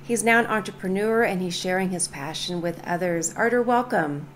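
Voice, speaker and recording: A woman radio host opening her show and interviewing a guest in a smooth, scripted manner. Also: on the low side, moderate pace, slight background noise.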